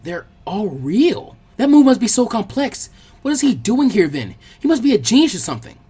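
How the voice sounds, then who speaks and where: A man voicing a friendly, bookish teenage girl who is chatty, practical and gently bossy, in a story reading. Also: high-pitched, sing-song, normal speed, slightly rough, voice pushed up, exaggerated voice. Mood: impressed.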